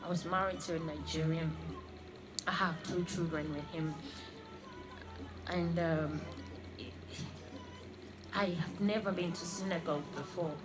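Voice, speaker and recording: A woman in her thirties, born in Congo and raised in Australia, giving an earnest personal religious testimony and often halting to repeat her phrases. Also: on the low side, fairly even intonation. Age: 30s. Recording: music playing under the voice. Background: clear background music.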